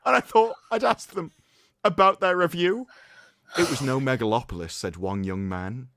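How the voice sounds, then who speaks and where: A man's voice: mid-range voice, moderate pace, a bit bright, no echo. A man hosting a livestream, doing a mock-dramatic reading with commentary in a theatrical, sarcastic, mock-solemn manner. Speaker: livestream host.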